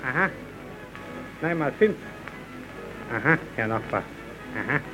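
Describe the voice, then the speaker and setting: An actor playing a cheeky, slow-witted slapstick puppet clown, stubborn and mock-naive, in a 1930s German radio comedy. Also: male voice, medium pitch, expressive, pushed and bright, soft background music, rough recording. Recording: old 1930s radio recording.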